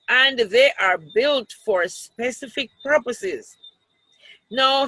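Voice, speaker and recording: Very slow, bright-voiced woman reading aloud. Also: medium pitch, no room sound, low-quality recording. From Jamaica. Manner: deliberate, pausing to explain pictures and question children. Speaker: storytime reader, teacher. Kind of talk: reading a picture book aloud to children.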